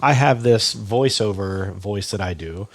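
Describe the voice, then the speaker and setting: A man's voice: lowish voice, unhurried, no echo. A man hosting a podcast in casual chat, slightly stuffy and nasal, rambling through stories with many restarts. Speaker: podcast host.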